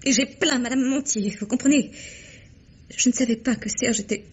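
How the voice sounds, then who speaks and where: A woman's voice: fairly high pitch, husky. A remorseful young woman confessing. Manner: halting, emotional, many sighs. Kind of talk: 1950s radio drama acting. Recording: dull old radio recording.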